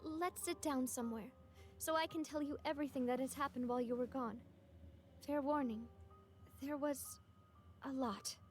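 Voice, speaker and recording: A woman's voice: sits high, moderate pace, pauses often, lightly acted. An actress playing a poised, gracious young woman who leads a gang in a video game. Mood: sorrowful. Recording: game music under the voice.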